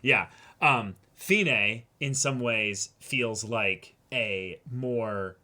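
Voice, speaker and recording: Bright-voiced man in casual discussion. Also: on the low side, very slow. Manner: emphatic, casually sweary. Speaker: co-host of an anime reaction discussion show.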